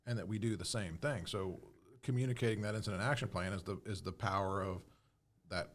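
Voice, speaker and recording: A man explaining procedures in a casual panel discussion, thinking aloud and repeating words as he goes. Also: fairly low pitch. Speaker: podcast panelist and fire service instructor.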